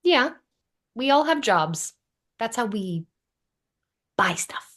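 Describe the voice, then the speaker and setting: A woman's voice: a little high, very animated, slow, a bit bright, exaggerated voice. A woman playing a wry, caring young city woman in a sitcom table read, with dry, teasing delivery.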